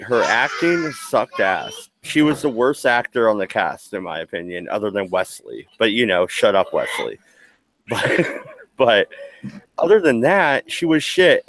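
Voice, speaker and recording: A man's voice: on the low side, very animated, moderate pace, a bit bright, dry recording. Blunt swearing man ranting on a stream. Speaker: stream co-host. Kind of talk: casual profane banter. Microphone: headphones with mic.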